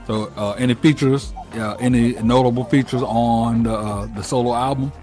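A man's voice: medium pitch, fairly even intonation, normal speed, mellow. A man, hosting a casual interview in an informal, slangy way. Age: late 30s. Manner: informal, slangy, repeats phrases. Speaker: interview host. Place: indoor room at home, on a video call. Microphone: wired earphones on a video call. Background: music.

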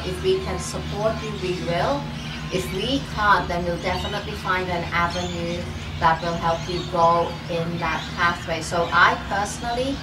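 Middle-aged woman, event host, earnest and encouraging, lowish voice, far-off camera in an echoey pavilion. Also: very noisy. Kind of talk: speech to a small outdoor gathering. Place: open-sided park pavilion outdoors. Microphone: far camera, no mic. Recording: distant, echoey outdoor pavilion with music.

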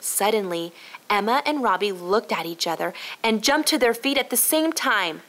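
A woman narrating a scripted children's storybook, telling it clearly for young listeners. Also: mid-range voice, animated, normal speed, fairly bright tone.

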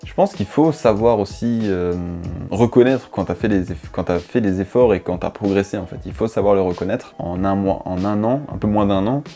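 A man's voice: on the low side, moderate pace, mellow, faint music behind. A young man, talking slangy and rambling to camera about his year, recorded on a lapel mic. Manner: slangy, rambling, self-mocking. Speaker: YouTuber. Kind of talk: improvised casual monologue to camera. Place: dim bedroom studio, indoors.

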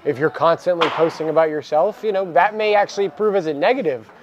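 A young man co-hosting a casual talk about coaching baseball, relaxed and filler-heavy, on a lapel mic in a cluttered equipment room. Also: a little high, fast, lo-fi sound, noisy background. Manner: relaxed, many "you know" fillers. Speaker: baseball program coach and co-host. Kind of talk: casual podcast chat.

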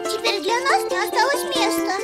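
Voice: very high-pitched, fairly quick. A performer voicing a tiny, eager girl ladybug, childlike and bubbly, helping with a drawing lesson in a children's cartoon. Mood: eager, curious. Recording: loud children's music behind.

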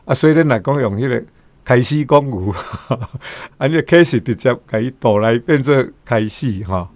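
An older Taiwanese man gives casual commentary as a guest, in a mid-pitched voice. He rambles along with frequent tag particles, recorded dry. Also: lively intonation, moderate pace, no room sound.

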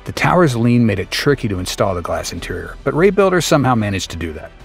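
A man reading a scripted documentary narration in a steady, explanatory way over a close voice-over mic. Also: mid-range voice, fast talker, gravelly. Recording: faint music bed behind the voice.

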